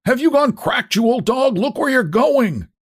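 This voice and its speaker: A man voicing an angry passerby yelling abuse at a driver. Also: very high-pitched, very animated, normal speed, breathy edge, no echo, clean studio sound, pitched above the performer's own voice, heavily acted. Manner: shouting, abusive. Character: angry passerby shouting from the darkness.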